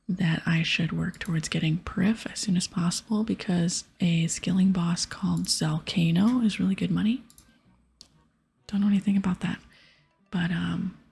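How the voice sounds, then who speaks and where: A woman host whispering softly and breathily as she gives gentle, chatty ASMR commentary on a video game. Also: on the low side, vocal fry, breathy edge.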